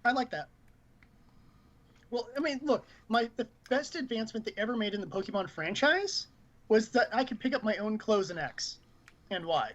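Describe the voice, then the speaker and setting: High-voiced man, dull lo-fi sound. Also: lively intonation, moderate pace, fairly bright tone. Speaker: podcast co-host, gaming news reporter. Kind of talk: casual panel chat about video games.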